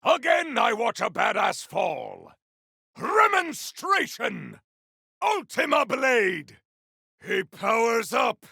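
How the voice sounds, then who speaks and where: Zealous video game champion: high-pitched for a man, raspy, bombastic and theatrical, swooping boldly through boastful combat barks. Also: wildly swooping pitch, takes it slowly, pushed and bright, no room sound, shouting, clean studio sound, full character voice. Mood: battle fury. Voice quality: gravelly, raspy. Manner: bombastic, archaic, theatrical declamation. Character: zealous, boastful male warrior champion, grandiose and battle-hungry.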